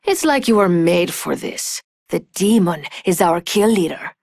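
A woman's voice: on the low side, animated, a little slow, a little mellow, no room sound, clean studio sound. A woman voicing a sultry, vengeful thief in a video game, cool, cutting and sardonic. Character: sultry, scornful, vengeful female thief, adult. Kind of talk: video game character voice lines.

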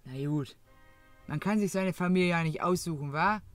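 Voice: medium pitch, normal speed, clean tone, no room sound, faint music behind, natural voice. A performer playing a coarse, jaded working-class mother, grumbling bluntly in a parody audio drama.

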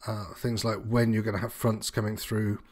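A man's voice: on the low side, completely flat delivery, moderate pace, a little mellow. A man giving an informal, unscripted walkthrough as an instructor, explaining things in a slightly hesitant, meandering way. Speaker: sailing instructor presenting tutorial.

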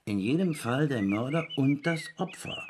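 Male actor doing a grumpy village cop writing his novel out loud, radio play, very swoopy pitch, keeps correcting himself. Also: sing-song, a little hiss or hum, lightly acted. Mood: grumpy, dramatic. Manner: halting, self-correcting, pompous literary dictation.

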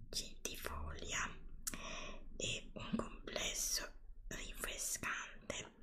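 A woman speaks in a hushed, breathy whisper, very close to the mic. She takes her time, leaving pauses between short phrases and repeating words as she shows products in an ASMR style. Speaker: ASMR video creator. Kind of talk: ASMR product show-and-tell. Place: indoors, close-up before a green screen. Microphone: close ASMR microphone.

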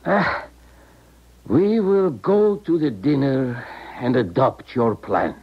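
An actor playing a courteous but coldly commanding colonel in a 1970s radio drama. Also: male voice, mid-range voice, lively intonation, slow pace, heavy background noise. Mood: measured, sly. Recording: dull, muffled 1970s radio recording.

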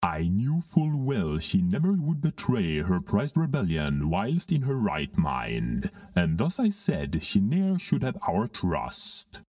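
A man voicing a very deep, menacing dark lord. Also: wildly swooping pitch, slow, hardly any pauses, no room sound, studio quality, far from the mic, exaggerated voice. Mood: cold, scornful. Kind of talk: Shakespearean dramatic reading.

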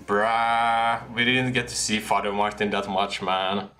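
A young man in a headset commenting casually on a game he is playing, excitable and slangy, laughing often. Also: lowish voice, measured pace, soft background music. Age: young adult. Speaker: gaming streamer. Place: small room at a desk.

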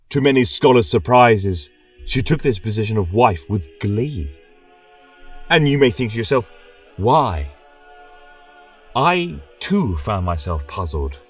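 A man's voice: lowish voice, dry recording, recorded from a distance. A man narrating a scripted tale as a religious chronicler, in a solemn, reverent, theatrical style. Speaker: storyteller and podcast host.

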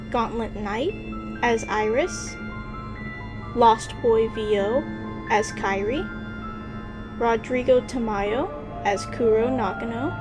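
A woman announcer reading scripted credits. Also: on the high side. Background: prominent music.

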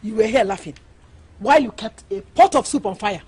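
A woman's voice: medium pitch, animated, brisk, a little mellow, echoey room, slight background noise, natural voice. A woman playing an easily angered older mother-in-law in a film drama, with a Nigerian accent and a sarcastic, accusing manner. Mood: angry, shouting. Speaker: actress.

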